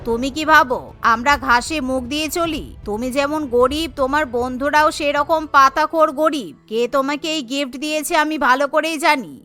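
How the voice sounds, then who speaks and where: A woman's voice: high-pitched, a little fast, sharp tone, faint music behind, voice pushed down. Woman doing a scornful, suspicious old cartoon wife. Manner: scornful, accusing. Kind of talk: Bengali cartoon voice acting.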